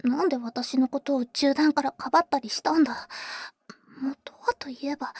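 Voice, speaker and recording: A woman playing a devoted, tsundere female vampire in a whispered Japanese ASMR roleplay, speaking haltingly and bluntly, very close to the microphone. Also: high-pitched, no echo. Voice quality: breathy, whispered. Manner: halting, murmured, blunt boyish phrasing. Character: protective, tsundere older-sister female vampire, tenderly devoted. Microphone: very close, dry ASMR microphone.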